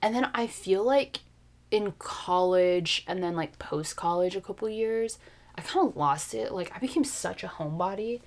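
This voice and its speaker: A young American woman co-hosting a podcast, chatty and animated in casual conversation. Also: mid-range voice. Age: young adult. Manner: chatty, animated, quick to laugh. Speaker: podcast co-host. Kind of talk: casual chat.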